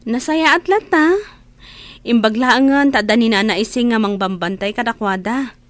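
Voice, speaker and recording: A woman's voice: a little high, normal speed, natural voice. An actress playing a helpful, earnest young woman in an Ilocano audio drama. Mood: warm, reassuring. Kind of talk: Ilocano radio-style audio drama acting.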